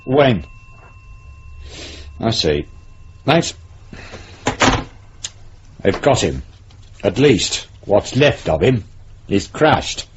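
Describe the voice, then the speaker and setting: An actor playing a domineering, vindictive British police superintendent with a cutting, condescending manner, in a 1970s radio drama. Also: male voice, fairly low pitch, very animated, extremely slow, pauses often, heavily acted. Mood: cold. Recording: dull old radio recording, background noise.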